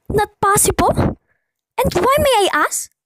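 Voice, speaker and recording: Casting: actress as imperious, nervy invalid wife for radio drama; very high voice, big dramatic swings. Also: female voice, animated, measured pace, very noisy. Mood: exasperated, indignant. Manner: querulous, imperious, melodramatic. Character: nervous, imperious bedridden invalid wife, demanding and panicky. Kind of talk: radio play acting.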